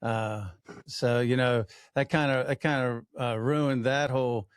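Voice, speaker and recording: A man's voice: mid-range voice, steady tone, clear, resonant tone, dry recording. Middle-aged podcast host dude, chatty, trips over his words, dead dry mic. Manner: chatty storyteller, halting restarts. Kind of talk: casual chat.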